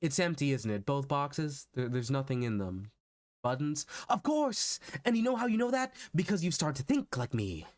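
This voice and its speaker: A man's voice: medium pitch, sing-song, moderate pace, no room sound, clean studio sound, close to an everyday voice. Man acting an anguished, manic sci-fi hero. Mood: manic, urgent. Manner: exasperated, intense, dramatic monologue delivery. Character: anguished, manic time-travelling hero pleading against war. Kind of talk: theatrical dramatic monologue. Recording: background music under the voice.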